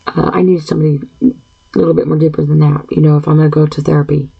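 A woman in her fifties with a gravelly, husky, mellow voice that stays flat in pitch. She talks in an earnest, halting way, clearing her throat often. The recording is dull and lo-fi. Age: 50s. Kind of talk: personal monologue.

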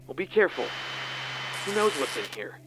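Guy acting a snippy sarcastic space officer in an audio drama, highish voice, noisy rumble behind. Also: big pitch swings, normal speed. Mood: wary. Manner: sarcastic, argumentative. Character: rival starship tactical officer, male, prickly and sarcastic. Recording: clear vehicle-like background noise.

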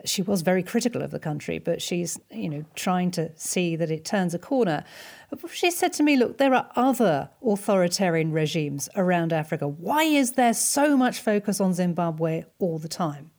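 A woman's voice: lowish voice, expressive, moderate pace, darkish tone. A woman hosting a podcast, speaking in a conversational presenter's style. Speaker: podcast host. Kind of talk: conversational interviewing and scripted sign-off.

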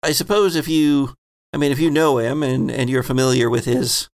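A man's voice: mid-range voice, fairly even intonation, moderate pace, close to an everyday voice. A man playing a flustered, hesitant female clinic desk clerk who stammers as she guards privacy in a tabletop game. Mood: reluctant, relenting.

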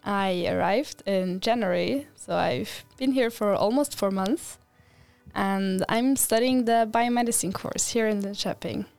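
A woman's voice: medium pitch, measured pace, creaky, a little mellow, clear, resonant tone, no echo. A young woman from Austria, an exchange student, talking casually as a podcast guest with a few hesitations.

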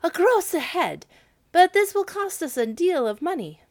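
A woman voicing an alarmed crowd of townsfolk who exclaim in shrill, loud voices. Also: sing-song, normal speed, heavily acted.

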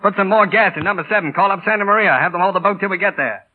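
A tinny vintage radio recording of a hard-boiled flying boss. His voice sits fairly high for a man, and he speaks in terse, clipped orders with a commanding edge. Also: racing. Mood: curt, irritated. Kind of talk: 1930s radio drama acting. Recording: tinny, band-limited old radio recording.

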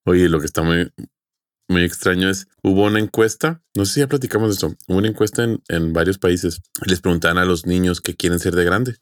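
A man's voice: deep voice, fairly quick, dry recording, studio quality. A man with a Mexican accent co-hosting a podcast, telling things casually with slang and a teasing manner. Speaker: podcast co-host. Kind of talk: casual storytelling.

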